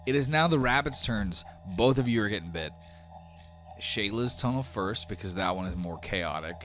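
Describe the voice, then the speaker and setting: A man's voice: fairly low pitch, expressive, normal speed, fairly bright tone, faint music behind. A man narrating a tabletop game as game master, in a casual, descriptive way that mixes storytelling with rules talk. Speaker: game master of a tabletop role-playing podcast.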